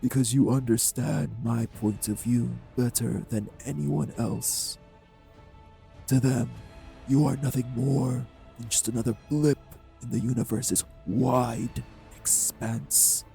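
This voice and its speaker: A man playing a cold, manipulative vampire villain in an audio roleplay, speaking with deliberate, condescending menace in a husky, gravelly voice. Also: mid-range voice, fairly even intonation, dark tone, faint music behind. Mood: taunting, menacing. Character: cold, manipulative male vampire taunting his captive. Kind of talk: dramatic audio roleplay acting.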